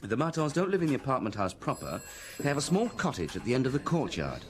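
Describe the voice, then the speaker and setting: A man's voice: mid-range voice, sing-song, quick pace, natural voice. An actor playing a dutiful male police detective in a radio drama, giving a matter-of-fact, conversational report to his chief. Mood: reporting briskly. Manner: conversational, matter-of-fact, mimics quoted speakers.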